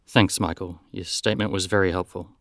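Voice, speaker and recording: An actor playing a brisk, matter-of-fact male detective in a scripted audio drama, businesslike and plain-spoken. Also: low-pitched, steady tone, creaky, no echo, clean studio sound.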